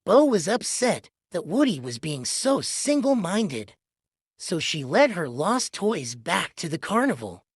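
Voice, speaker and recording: A woman's voice: lowish voice, very animated, unhurried, breathy edge, no echo. A woman narrating a children's read-along storybook in a scripted storytelling style. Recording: music behind the voice. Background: music.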